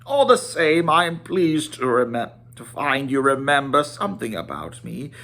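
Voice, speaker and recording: A man's voice: mid-range voice, big pitch swings, normal speed, pushed and bright. A man voicing an imposing, teasing old wizard in a storybook reading, emphatic and declamatory, drawing out his words. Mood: mollified.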